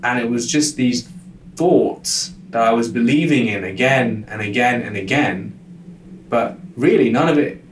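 A man's voice: medium pitch, a little hiss or hum. Stuttering young man, roomy and distant. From lives in London. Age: young adult. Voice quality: stutter, frequent word repetitions. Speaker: guest, life coach. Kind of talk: casual interview talk.

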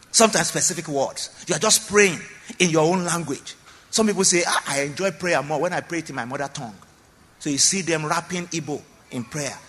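Animated man preaching in a hall. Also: on the high side. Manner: emphatic preaching with repeated phrases and questions to the congregation. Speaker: pastor. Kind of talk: sermon. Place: church.

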